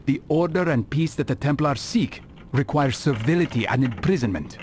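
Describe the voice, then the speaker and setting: Stern scholarly assassin played by a male actor, mid-range, formal, expressive intonation, music behind. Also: mid-range voice, a little hiss or hum, natural voice. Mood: reflective. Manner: measured, formal. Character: grave, learned young assassin, stern and reflective.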